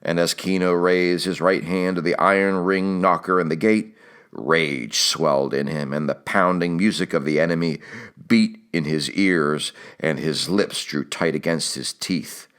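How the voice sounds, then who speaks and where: A man's voice: low-pitched, unhurried, fairly bright tone, clear, resonant tone. A man reading a literary audiobook as scripted narration.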